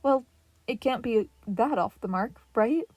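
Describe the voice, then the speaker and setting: A woman reads as a gentle eldest turtle brother, soft-spoken and a little unsure. The voice is slightly high and dry, close to the mic. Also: expressive, unhurried, no echo.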